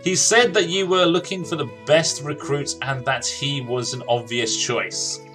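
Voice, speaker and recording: A man's voice: a little high, steady tone, unhurried, music in the background. A man doing casual, jokey gaming commentary, talking to himself and reading game dialogue aloud. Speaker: gaming streamer.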